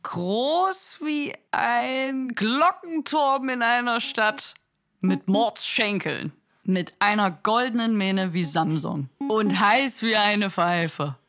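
A woman's voice: a little high, slow, a bit bright. A woman narrating a literary radio play as its storyteller. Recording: faint music behind.

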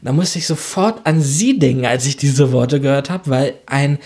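A man speaking informally as an interviewer in a relaxed, conversational way. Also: medium pitch, normal speed.

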